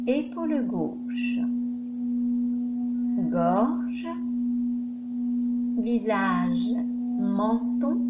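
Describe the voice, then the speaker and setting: A woman guides a meditation at an extremely slow pace, leaving space between short, measured phrases. Her voice sits a little high. The recording is dull, with loud noise behind her. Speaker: yoga nidra instructor.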